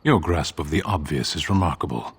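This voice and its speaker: Extremely deep, stoic giant warrior. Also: male voice, a little fast, slight background noise. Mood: dry disdain. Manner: terse, deadpan, dismissive. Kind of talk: video game dialogue acting.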